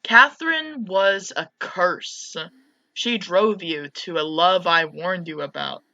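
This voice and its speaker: Woman acting a possessive, cloying mother with bright voice. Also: fairly low pitch, slow, creaky, no echo, muffled recording, exaggerated voice. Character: possessive, manipulative mother, cold and doting. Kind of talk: visual novel dialogue read aloud.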